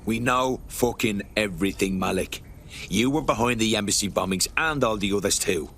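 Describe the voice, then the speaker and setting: An actor playing a blunt, swearing hacker operative. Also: male voice, fairly low pitch, moderate pace, fairly bright tone, a little hiss or hum. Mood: determined.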